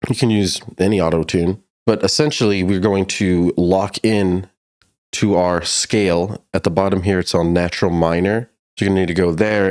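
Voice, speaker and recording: A man's voice: sits low, darkish tone. A man narrating a casual music production tutorial, explaining things step by step in an informal way.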